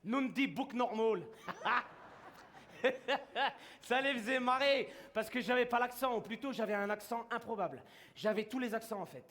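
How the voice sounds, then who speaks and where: Brief: very high-pitched male storyteller, wry and chuckling, theatrical monologue. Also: a bit bright.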